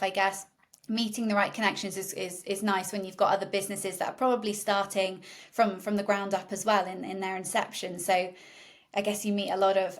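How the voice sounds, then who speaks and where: A woman interviewing a founder, asking chatty, encouraging questions. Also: medium pitch.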